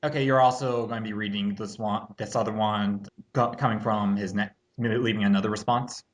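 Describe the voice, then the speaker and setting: A man hosting a casual rebuttal commentary, speaking in a halting, dismissive and slightly snide way. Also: on the low side, steady tone.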